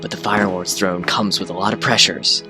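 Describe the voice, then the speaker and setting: A troubled young ruler from a cartoon dub, solemn and weighed down by duty, acted by a man. The low voice speaks gravely and haltingly over clear music. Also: fairly even intonation, natural voice. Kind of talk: animated comic dub acting.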